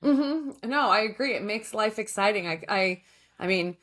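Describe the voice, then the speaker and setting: A woman's voice: mid-range voice, animated, normal speed, fairly bright tone. A woman in her thirties hosting a podcast interview, chatting in an upbeat way and affirming her guest often. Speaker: podcast host. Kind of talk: casual interview.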